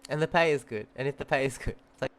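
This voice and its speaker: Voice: mid-range voice, fast talker, a little hiss or hum. Easygoing game streamer with a mid-range voice. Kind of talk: casual live reaction commentary on a game.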